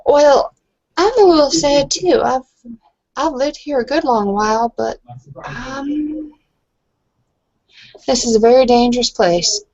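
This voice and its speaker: A woman acting a shy, easily embarrassed woman in a tabletop game. She speaks haltingly and hesitantly, in a dry, slightly dull lo-fi recording. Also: sits high, darkish tone, no room sound, pitched above the performer's own voice. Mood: sad, hesitant. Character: shy, embarrassed woman saying goodbye to friends.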